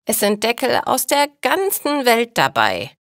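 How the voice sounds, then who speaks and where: A woman voicing an eager, talkative elderly neighbour in a slow storybook dialogue for learners. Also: fairly high pitch, huge pitch swings, a bit bright, no room sound, clean studio sound, pitched above the performer's own voice, full character voice. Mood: excited, friendly. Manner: enthusiastic, talkative.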